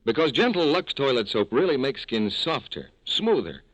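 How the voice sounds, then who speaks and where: Male 1940s announcer, animated intonation, folksy scripted selling, dry and muffled old radio sound. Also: medium pitch, normal speed, no echo. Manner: polished, folksy sales patter.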